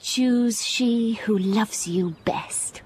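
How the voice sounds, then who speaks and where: A hushed devoted young governess. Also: female voice, fairly high pitch, drawn-out words, slight background noise. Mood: tender. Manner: soft, hushed, earnest. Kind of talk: radio drama acting.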